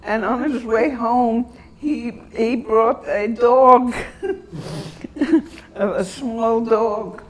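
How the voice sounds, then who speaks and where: An elderly woman from Antwerp whose first language is German, reminiscing in an interview and searching for her words with long pauses. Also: fairly high pitch. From Antwerp, Belgium.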